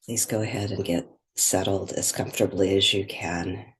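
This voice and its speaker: Low, husky woman guiding a meditation. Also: steady tone. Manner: gentle, unhurried phrasing with pauses. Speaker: meditation guide. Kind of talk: guided meditation.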